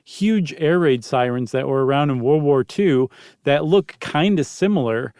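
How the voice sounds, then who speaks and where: A man's voice: on the high side, expressive, measured pace, a little mellow, dry recording, clean studio sound. A man co-hosting a podcast, explaining things in a casual, chatty and descriptive way. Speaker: podcast co-host.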